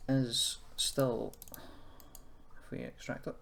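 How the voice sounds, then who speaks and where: A man giving a casual software tutorial, rambling and thinking aloud with self-deprecating asides. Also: medium pitch, level tone, extremely slow. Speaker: tutorial host. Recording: clearly noisy, keyboard clicks and typing. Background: background noise, computer keyboard and mouse clicks.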